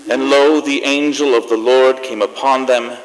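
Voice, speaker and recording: A man's voice: mid-range voice, steady tone, moderate pace, faint music behind, lightly acted. A man playing a genial, sentimental host of a Christmas variety show, speaking and reading from the stage. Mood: solemn. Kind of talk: stage hosting and scripted reading. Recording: echoey hall with faint noise.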